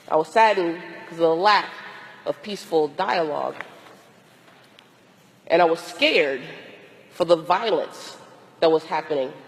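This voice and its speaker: Hoarse woman priest in an echoing hall. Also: on the low side. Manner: slow, deliberate, with long pauses. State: hoarse, losing her voice from a cold; exhausted. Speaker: Episcopal priest. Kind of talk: reflective sermon.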